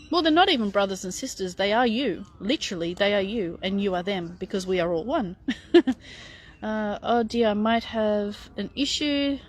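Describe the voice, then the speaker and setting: Middle-aged lady answering viewer questions, chatty and frank, lots of ums. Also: mid-range voice. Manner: chatty, frank, many fillers and restarts. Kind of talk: casual live Q&A.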